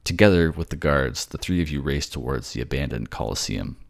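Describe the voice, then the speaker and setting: A man's voice: rumbling low, expressive, a little fast, vocal fry. A man narrating a fantasy drama in the second person, with a dramatic storytelling delivery.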